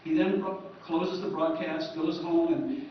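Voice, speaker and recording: A man giving an informal history talk at a convention, conversational and halting, recorded from far off in an echoey room. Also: high voice, sing-song, lo-fi sound, a little hiss or hum. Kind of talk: informal lecture.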